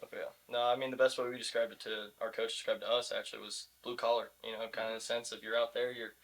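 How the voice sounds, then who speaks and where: A man's voice: mid-range voice, little pitch movement, fast, a bit bright. A young man answering questions casually as a college athlete and guest on a podcast. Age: young adult. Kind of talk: casual interview chat.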